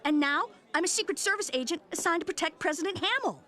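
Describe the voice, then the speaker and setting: A woman's voice: very high voice, lively intonation, quick pace, bright, forceful tone, full character voice. A woman voicing a brash, slangy ex-wrestler turned bodyguard in a cartoon. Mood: boastful. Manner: tough, slangy.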